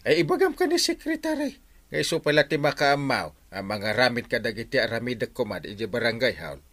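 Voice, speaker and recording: A man with an Ilocano accent playing a bumbling, evasive, excitable village captain in a radio comedy drama, stammering and exclaiming. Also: medium pitch, a little fast. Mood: hesitant, instructing. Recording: noticeable background noise.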